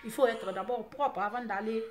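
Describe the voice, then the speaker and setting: A woman from Guinea with a Guinean French accent, Susu her mother tongue, vlogging to her fans. Her voice sits high and keeps surging into loud, emphatic bursts. Faint music plays quietly behind her. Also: fairly even intonation, normal speed. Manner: emphatic, rising often to loud forceful outbursts. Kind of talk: impassioned monologue to camera.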